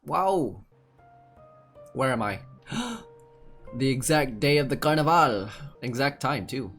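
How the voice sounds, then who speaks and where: A man's voice: mid-range voice, very animated, slow pace, fairly bright tone, soft background music. A man giving casual playthrough commentary as a gaming streamer, reacting aloud and musing in questions.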